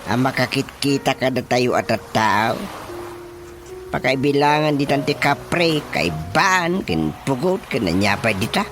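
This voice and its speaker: Actor as a slow-talking village watchman, music behind. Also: mid-range voice, animated, close to an everyday voice. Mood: uneasy. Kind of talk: radio drama acting in Ilocano. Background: prominent background music.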